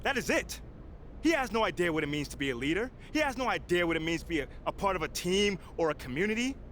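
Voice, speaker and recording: A proud young American pro ballplayer in a video game story. His fairly high voice moves freely up and down as he talks in an earnest, emphatic, colloquial way. There is clear noise behind him. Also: animated, quick pace, fairly bright tone. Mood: fired up, indignant. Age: young adult. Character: driven, proud young male pro basketball player. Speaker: video game actor playing the lead character. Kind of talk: naturalistic cutscene acting, impassioned speech.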